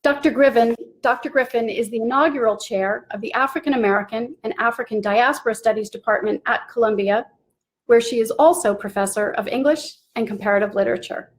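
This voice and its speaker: A woman's voice: fairly high pitch, fairly quick, low-quality recording. A woman hosting a library's online event, formally reading a scripted introduction over a video call.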